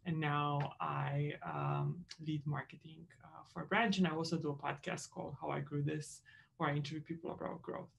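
A woman's voice: low voice, fairly even intonation, normal speed, creaky, muffled recording. A woman from Romania co-hosting a webinar in an upbeat, chatty way with fillers. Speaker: webinar co-host. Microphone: video call.

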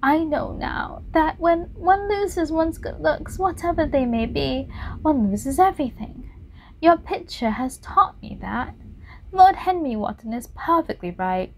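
A woman's voice: high-pitched, expressive, unhurried, a little hiss or hum, pitched above the performer's own voice, low-quality recording. Woman voicing a vain young man in anguish. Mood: bitter. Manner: impassioned, pleading.

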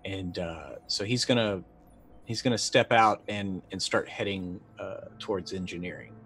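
A man's voice: low voice, moderate pace, fairly bright tone. A middle-aged man playing a tabletop roleplaying game, narrating casually and thinking aloud in hesitant bursts. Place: indoor room, on a video call.

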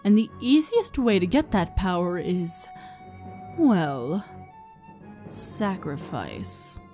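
A woman's voice: fairly low pitch, big pitch swings, slow, mellow. A woman voicing a cold, mocking, ancient regal pony princess in a dramatised story reading, sardonic in manner. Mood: mocking, casual menace. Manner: leisurely, sardonic. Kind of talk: dramatised fan fiction reading. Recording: music behind the voice.

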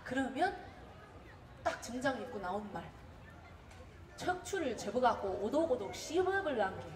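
A woman's voice: on the high side, moderate pace, crowd noise. Witty Jeolla woman on a stage. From Gwangju, South Korea. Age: 30s. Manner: witty, animated storytelling. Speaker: contest participant. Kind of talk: humorous prepared speech. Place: event hall. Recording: roomy hall, distant mic, faint noise.

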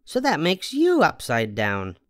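A man's voice: on the high side, huge pitch swings, a little slow, fairly bright tone, dry recording, clean studio sound, voice pushed up, full character voice. A man voicing a blunt, cocksure bat stranger in a storybook. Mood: blunt, certain.

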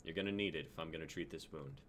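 Man playing a deep gruff dragon doctor. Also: rapid-fire, a little hiss or hum. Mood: calm, clinical. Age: 20s. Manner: calm, wry. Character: huge warm gruff dragon-scaled male doctor. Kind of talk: amateur dramatic script reading.

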